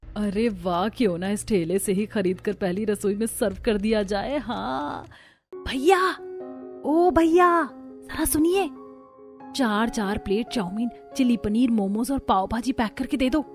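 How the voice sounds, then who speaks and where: Casting: woman playing scheming young cartoon bride, sly asides, higher-pitched, music behind. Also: unhurried, darkish tone. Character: scheming young new bride, secretly lazy. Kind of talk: Hindi cartoon story dialogue.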